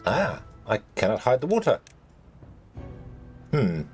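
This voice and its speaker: A man commentating his gameplay casually, rambling with lots of ums and restarts, and a little croaky as he loses his voice. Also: mid-range voice, wildly swooping pitch, takes it slowly, soft background music. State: slightly croaky, losing his voice. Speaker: let's play host.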